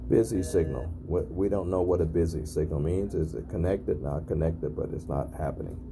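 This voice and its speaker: Very deep, gravelly man. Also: normal speed, noisy background. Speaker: narrator documenting an intercom test. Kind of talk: casual on-site video demonstration. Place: at a building's entry intercom panel.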